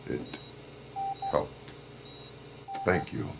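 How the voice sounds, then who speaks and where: A man playing a stoic, dutiful half-machine police officer in a video game, speaking tersely and formally in a deadpan way. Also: extremely slow. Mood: flat, grave.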